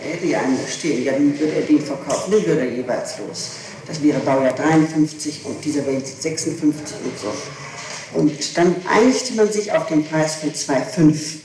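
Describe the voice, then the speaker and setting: A woman's voice: low voice, normal speed, mellow. A woman gives testimony under questioning, precise and insistent about details. She sounds distant in a heavily reverberant room, on an archival tape with faint noise. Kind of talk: police interrogation testimony. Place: reverberant room, far from the microphone. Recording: echoey, distant, noisy archival tape recording.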